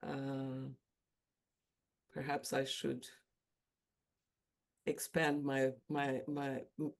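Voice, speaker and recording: A woman's voice: rumbling low, monotone, drawn-out words, vocal fry. A woman coaching supervisor reflecting aloud, stumbling and questioning her own approach.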